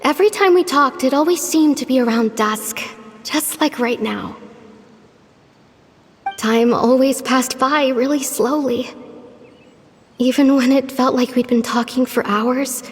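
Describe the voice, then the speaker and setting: Soft-mannered young village girl in a game, high voice, thoughtful, bit self-effacing. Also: a little slow, pauses often, husky. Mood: pensive. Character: gentle, talkative, thoughtful young village woman. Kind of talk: video game voice acting.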